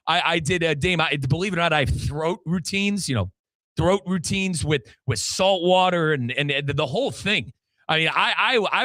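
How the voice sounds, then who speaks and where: A man hosts a sports talk show with a very bright, forceful voice set a little high. The sound is close and dry, and he leans on his words emphatically. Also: no room sound. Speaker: sports radio show host and football play-by-play commentator. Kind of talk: animated sports talk with sponsor reads.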